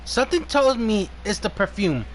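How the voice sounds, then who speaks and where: A man's voice: sits high, sing-song, normal speed, slight background noise, low-quality recording. A man livestreaming a video game, chatting casually over the gameplay.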